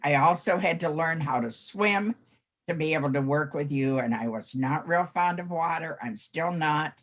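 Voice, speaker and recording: An 89-year-old American woman reminiscing in a group interview, with halting, deliberate phrasing. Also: low-pitched. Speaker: former scout troop helper.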